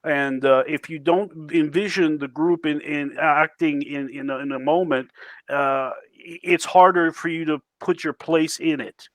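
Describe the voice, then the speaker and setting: A man's voice: a little high, steady tone, fairly bright tone, no echo. Earnest man, dry close sound. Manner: earnest, halting. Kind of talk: casual panel discussion.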